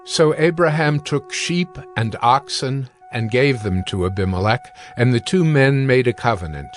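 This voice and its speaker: A man with a mid-pitched voice reads scripture as a narrator. His delivery is formal and measured, with background music underneath. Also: expressive.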